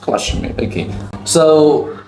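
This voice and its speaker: A man's voice: on the high side, big pitch swings, slow pace, vocal fry, a little mellow, slight background noise. A Cambodian man, a teacher asking a student simple questions in plain, broken English and answering each reply with "okay". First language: Khmer. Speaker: teacher and channel host. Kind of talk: classroom question-and-answer video. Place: school campus. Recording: echoey, with faint background music.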